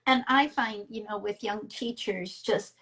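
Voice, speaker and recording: A woman's voice: mid-range voice, unhurried, a bit bright, rough recording. A woman speaks as an interviewer in an informal conversation. She is earnest and emphatic, with a mid-range voice, and she breaks her thoughts into short phrases as she shares personal experience. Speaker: interviewer and storyteller who works with teens.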